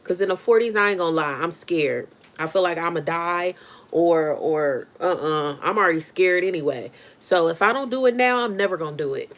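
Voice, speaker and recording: A woman's voice: lowish voice, moderate pace. A woman in her thirties chatting casually on a livestream, reacting to viewer comments as she talks. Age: 30s. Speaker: livestream host.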